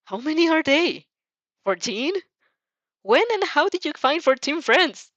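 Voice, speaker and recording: A woman's voice: sits high, sing-song, measured pace, a bit bright, no room sound. A woman reading fan fiction aloud as informal storytelling, giggly and prone to breaking off with comments. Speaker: fan fiction reader and dubber.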